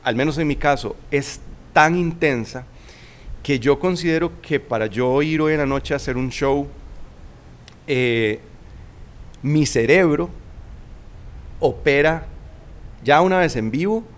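A man's voice: a little high, slow, long pauses. A man in his forties with a Costa Rican Spanish accent, a guest in a casual interview on a shaded veranda, speaking thoughtfully with many restarts. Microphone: studio mic on boom arm.

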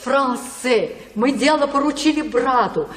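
Devoted mother, high voice, slow emotive verse, radio drama, echoey. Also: expressive. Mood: warm, purposeful. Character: devoted, eager country mother. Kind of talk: verse radio play acting. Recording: echoey hall with long reverb.

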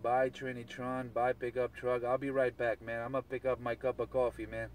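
A man's voice: medium pitch, monotone, moderate pace. A man chatting on CB radio, chanting repeated phrases and call signs. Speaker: CB radio operator. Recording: noisy CB radio, partly far from mic.

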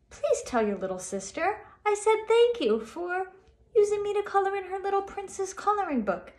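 Woman, high animated voice, sassy indignant storybook crayon, roomy echoing recording. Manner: sassy, emphatic. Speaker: school staff member reading aloud. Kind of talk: dramatic children's storybook reading. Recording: roomy home video echo.

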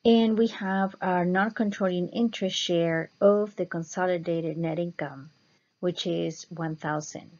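A woman instructor explaining slides step by step in a measured lecture style. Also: fairly low pitch, fairly even intonation, a little mellow. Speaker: accounting instructor. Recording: dull, muffled lo-fi voice-over.

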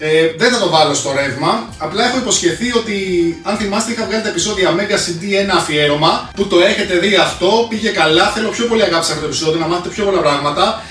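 A man in his thirties hosts a casual video in a slightly high voice. He chats in a slangy way and drops in English collector terms. He is recorded a little far from the mic in an echoey room. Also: few pauses, fairly bright tone. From Greece. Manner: chatty, slangy, mixes in English collector jargon. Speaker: host of a retro console collecting channel. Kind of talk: casual video presentation to viewers. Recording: echoey room, distant mic, music behind.

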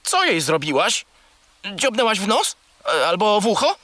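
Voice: mid-range voice, very animated, normal speed, bright, forceful tone, dry recording. An actor voicing a grumpy, sulky little male furry pet in a children's audio play, with a matter-of-fact manner. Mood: curious, sceptical.

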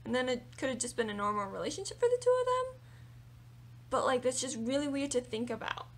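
A young woman book vlogger chatting casually and animatedly about her reading, her voice a little raspy from singing. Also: high-pitched, fairly quick. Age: young adult. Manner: animated, chatty, lots of fillers and hand gestures. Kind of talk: casual monthly reading wrap-up. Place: small room with bookshelves indoors.

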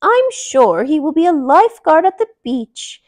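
Woman voicing a high, confident bunny aunt. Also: big pitch swings, unhurried. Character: confident bunny aunt, brightly sure of herself.

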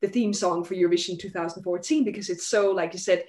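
Chatty young Danish woman, lowish voice. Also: fairly even intonation, fast, darkish tone. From Denmark. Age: young adult. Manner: chatty, many fillers and likes. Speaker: guest singer. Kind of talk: casual interview chat. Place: home room indoors. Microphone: headset on video call.

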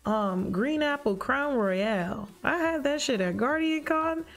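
A young woman livestreaming a life-sim game, chatting casually with her viewers in a lively, joking, profane way on a headset mic. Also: sits high, measured pace. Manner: lively, joking, talks back to chat, profane slang. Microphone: headset mic at desk. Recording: game music playing behind.

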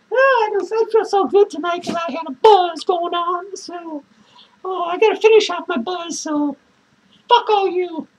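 Woman playing a shrill unhinged drunk clown. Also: expressive, slow pace, long pauses, full character voice, rough recording. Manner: manic cackling, swearing, lurching between shouts and mutters. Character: unhinged drunk male clown, crude and rambling. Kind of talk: improvised character monologue to viewers.

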